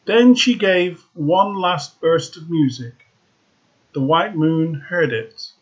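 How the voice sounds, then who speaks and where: A man reading a fairy tale aloud, slow and expressive with dramatic emphasis. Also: fairly high pitch, lo-fi sound. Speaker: storyteller.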